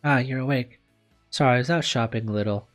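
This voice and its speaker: A man voicing a caring, casual schoolgirl in a visual novel, breezy and gently bossy, with music behind. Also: mid-range voice, quick pace, close to an everyday voice. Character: caring, casual schoolgirl looking after her sick girlfriend. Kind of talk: visual novel read aloud. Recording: background music under the voice.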